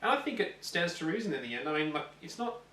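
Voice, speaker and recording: Bright-voiced man, echoey distant mic. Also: a little high, very animated, quick pace. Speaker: co-host of a gaming show. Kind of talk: casual chat and opinion. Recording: roomy echo, distant mic, faint noise.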